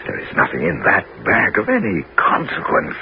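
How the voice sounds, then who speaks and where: An actor playing Ulysses, a weary and proud wandering hero, speaking gravely in a 1970s radio drama. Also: male voice, huge pitch swings, normal speed, slight background noise. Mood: tense. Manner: deep, grave, turning to frantic shouting. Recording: dull old radio recording with loud music.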